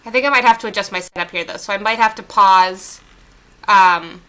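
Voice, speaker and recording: A woman's voice: medium pitch, a little fast, pushed and bright, clean tone. A woman streaming a video game, chatting casually and rambling with lots of fillers. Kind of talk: casual let's-play chat.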